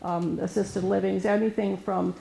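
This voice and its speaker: Soft-voiced woman with a gravelly tone. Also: mid-range voice, flat delivery, moderate pace, slight background noise. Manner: hesitant, many fillers and restarts. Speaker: resource specialist giving a presentation. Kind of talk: informal presentation to an audience. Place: meeting room with an audience. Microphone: room microphone recorded for camera. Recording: roomy echo.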